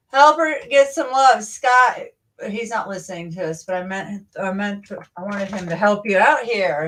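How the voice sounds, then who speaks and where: Woman, livestream host, casual chat, scattered, repetitive, mid pitch, distant from mic. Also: fairly bright tone. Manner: chatty, scattered, repeats herself.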